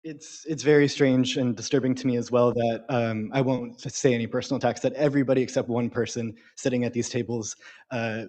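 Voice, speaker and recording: A man's voice: mid-range voice, steady tone, fairly quick, a little mellow. Indignant man during public comment. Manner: indignant, repeats himself for emphasis.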